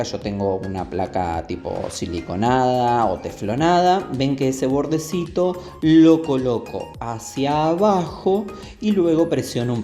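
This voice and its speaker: A man's voice: mid-range voice, warm tone. A man with a Rioplatense Spanish accent giving a step-by-step cooking demonstration, instructive and often checking in with short tag questions. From Argentina. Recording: roomy echo, music behind. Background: music.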